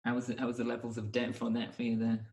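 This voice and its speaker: Male host, medium pitch, conversational and reflective, puts questions to others informally. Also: monotone, rapid-fire, a little mellow, slight background noise. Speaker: podcast host. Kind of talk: casual discussion.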